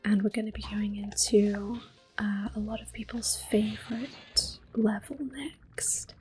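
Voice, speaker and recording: A woman speaks in a soft, breathy near-whisper at a middle pitch, right up close to the microphone. She narrates her gameplay in a gentle, rambling ASMR style. Faint music sits behind her voice. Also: level tone, unhurried. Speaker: video game streamer. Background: soft game music.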